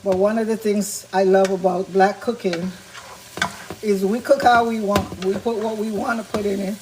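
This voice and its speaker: A woman hosting a vegan cooking show, chatty and explaining each step as she cooks, her voice a little raspy from talking a lot. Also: mid-range voice, fairly even intonation, moderate pace, background noise. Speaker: vegan cooking channel host. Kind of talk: casual cooking demonstration. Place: home kitchen with food sizzling. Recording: kitchen with loud sizzling food. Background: frying and sizzling sounds.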